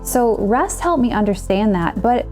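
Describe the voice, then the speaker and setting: Woman, 30s, earnest testimonial, warm dark tone, faint music underneath. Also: mid-range voice, big pitch swings, unhurried. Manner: earnest, personal storytelling. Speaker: client and certified coach. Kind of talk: scripted testimonial.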